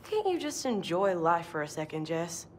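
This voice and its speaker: A woman's voice: fairly low pitch, sing-song, moderate pace, a little hiss or hum. An actress playing an easygoing, goofy young woman fighter in a video game. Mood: carefree. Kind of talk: video game cutscene acting.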